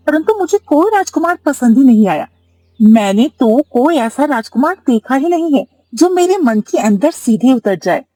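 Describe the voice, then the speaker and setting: A kind, choosy young cartoon princess , acted by a woman. Her high voice is soft and mellow, with a breathy edge. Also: dry recording, poor audio. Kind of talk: cartoon story acting.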